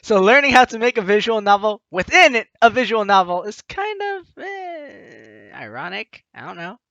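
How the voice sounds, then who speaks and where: A man's voice: high-pitched, big pitch swings, moderate pace, no echo, muffled recording. A man doing casual let's play commentary, reading game text aloud and adding teasing, sarcastic asides. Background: soft game music.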